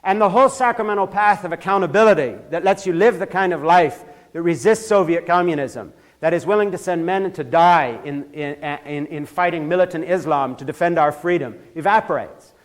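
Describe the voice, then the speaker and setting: Older man, from Switzerland, fairly high voice, impassioned church talk, heated emphasis, frequent restarts. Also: fairly quick, few pauses. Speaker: speaker giving a church talk. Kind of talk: impassioned lecture.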